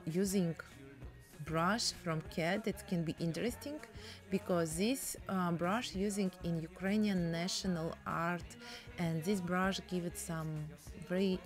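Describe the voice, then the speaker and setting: A woman's voice: fairly low pitch, darkish tone. A woman with a Russian accent chatting in broken English while demonstrating a painting technique. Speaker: painting tutorial host.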